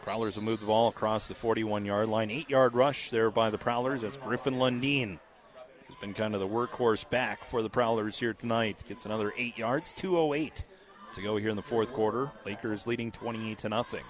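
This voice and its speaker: Scratchy-voiced man calling football. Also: fairly low pitch. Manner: steady, measured play-calling with fillers. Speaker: play-by-play announcer. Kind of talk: local high school football commentary.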